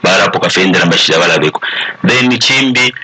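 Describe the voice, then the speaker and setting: A man's voice: sits low, expressive, quick pace, sharp tone, husky. A man with a Zambian accent explaining informally as a panel guest, switching between English and a local language.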